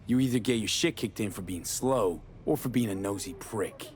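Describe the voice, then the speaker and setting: An actor playing a gruff, blunt, streetwise mercenary in a video game. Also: male voice, fairly low pitch, moderate pace, lightly acted. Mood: curt, threatening. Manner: blunt, terse. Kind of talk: video game dialogue acting.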